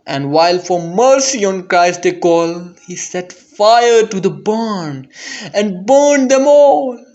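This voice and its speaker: A man with a fairly high voice recites narrative poetry very deliberately. His delivery is theatrical and intense, at a slow pace, on a slightly dull recording. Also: animated, darkish tone. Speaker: poetry reader.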